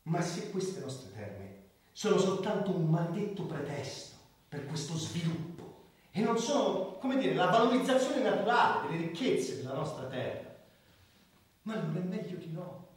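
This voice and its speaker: Idealistic doctor-crusader, high swooping delivery, oratorical pauses, radio drama, very echoey. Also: male voice, sing-song. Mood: earnest oratory. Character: idealistic male doctor turned impassioned public crusader. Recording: very echoey hall, far from the mic.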